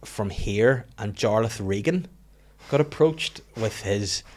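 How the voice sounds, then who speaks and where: Swearing Northern Irish comedian, joking. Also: male voice, fairly low pitch, animated, takes it slowly. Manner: profane, joking, rambling. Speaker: comedian guest. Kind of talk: casual podcast chat.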